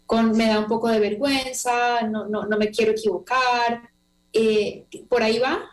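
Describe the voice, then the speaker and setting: A woman's voice: fairly high pitch, fairly even intonation, moderate pace, low-quality recording. A woman hosts a talk show interview, chatty and informal. She thinks aloud as she builds her questions and reads out viewer messages. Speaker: host. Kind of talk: conversational interview hosting.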